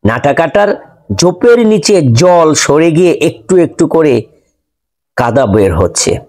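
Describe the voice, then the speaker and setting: A man's voice: fairly high pitch, big pitch swings, slow pace, darkish tone. A man reading scripted narration as the host of an audio story.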